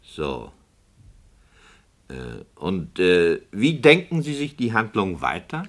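A man's voice: low voice, wildly swooping pitch, slow, full character voice. An actor playing a director in a radio play, polite but persistent and questioning in his delivery. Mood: sceptical, curious. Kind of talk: German radio play acting.

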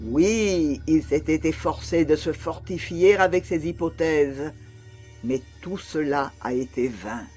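Male narrator as obsessed madman confessing murder, audiobook drama. Slow, theatrical delivery, slightly high voice. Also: darkish tone. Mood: hushed, menacing. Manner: deliberate, halting, theatrical, with insistent repetitions. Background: music under the voice.

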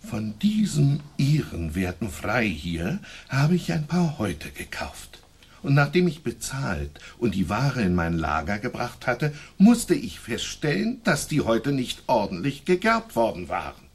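Aggrieved leather merchant, very animated intonation, husky, emphatic, radio drama. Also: male voice, mid-range voice, measured pace, exaggerated voice. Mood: indignant. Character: indignant leather merchant making an accusation. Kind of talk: radio play acting.

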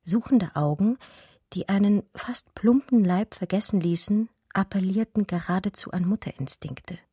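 An actress playing an educated art historian who recounts her love story in a radio drama, measured and eloquent. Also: mid-range voice, mellow, breathy edge, no room sound, studio quality, natural voice. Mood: measured, scholarly. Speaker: narrator and lead character. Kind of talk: literary radio drama narration.

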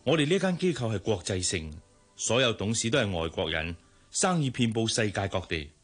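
An actor playing a businesslike, authoritative general manager in a radio drama, formal and instructive, with a Hong Kong Cantonese accent. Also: male voice, lowish voice, sing-song, a little fast, faint music behind.

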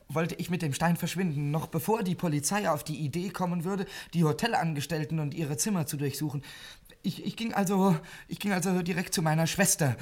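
A man's voice: on the high side, lightly acted. An actor playing a nervous male thief who stammers and pleads as he confesses, in 1950s radio drama style.

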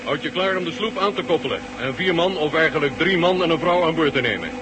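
A gruff, impatient space marshal, played by a man snaps his orders into the radio in brusque bursts. The voice sits a little above the usual range for a man. Steady hiss runs under this old radio drama recording. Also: a little high, fast, lightly acted. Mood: scolding. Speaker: marshal piloting a space shuttle.

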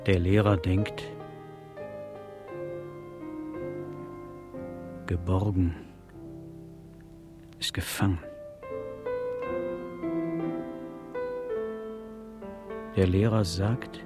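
An actor playing an insistent male schoolteacher with quiet, prodding authority in a radio drama. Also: low voice, steady tone, slow, music in the background. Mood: grave. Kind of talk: naturalistic radio drama acting.

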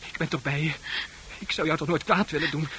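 Bright, intense devoted lover. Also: male voice, on the high side, a little fast, slight background noise. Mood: obsessive tender. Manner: insistent, pleading, tender. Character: tormented, obsessively devoted lover, tender and menacing. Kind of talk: intense radio play acting.

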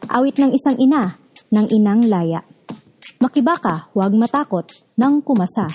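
A woman's voice: a little high, expressive, normal speed, warm tone, a little hiss or hum. A woman with a Filipino accent hosting a radio drama series and reading scripted narration. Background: background music.

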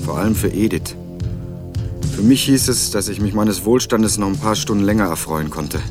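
An actor playing a wry, laconic young student who narrates and speaks in a crime radio play with dry irony. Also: male voice, fairly low pitch, normal speed, over music, close to an everyday voice. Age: young adult. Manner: dry, ironic. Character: wry, laconic young male student investigating his father's death. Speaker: narrator and protagonist.

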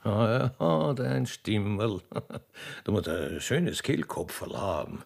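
A man playing a lecherous, flattering aristocrat with an Austrian accent and an oily, repetitive manner in a radio play. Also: lowish voice, takes it slowly, voice pushed down, heavily acted. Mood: flirtatious. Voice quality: gravelly, husky.

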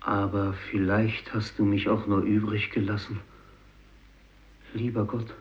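A man performs a remorseful surviving fisherman in a vintage radio drama. His low voice moves very slowly, halting and solemn like a prayer, on a dull recording. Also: flat delivery, natural voice. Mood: remorseful, pleading. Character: remorseful surviving Japanese fisherman, praying and warning. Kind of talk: 1950s German radio play narration.

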